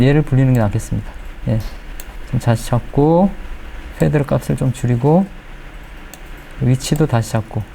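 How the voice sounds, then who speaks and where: A middle-aged man giving a spoken software tutorial as an editing instructor, explaining step by step and checking in with questions. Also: mid-range voice, creaky, a little hiss or hum, low-quality recording.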